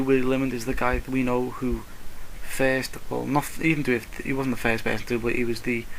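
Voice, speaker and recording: A man's voice: medium pitch, fairly bright tone, a little hiss or hum, low-quality recording. A British man giving casual solo boxing commentary in a blunt, slangy, opinionated way. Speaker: boxing vlogger.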